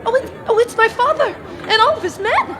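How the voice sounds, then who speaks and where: Brief: a woman doing an anxious fort daughter, very high lively voice, audience and noise behind. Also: a little fast, music in the background, a crowd in the background. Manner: urgent, emotional questions. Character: major's young daughter at a fort, anxious and spirited.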